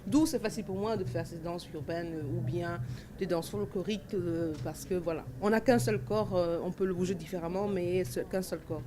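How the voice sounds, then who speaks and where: Woman, 40s, from Cameroon; halting, reflective interviewee, mid pitch, noisy outdoor ambience. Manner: thoughtful, rambling with many fillers and restarts. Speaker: dancer and festival organizer. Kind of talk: interview answers. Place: outdoor festival grounds. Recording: festival ambience noise behind.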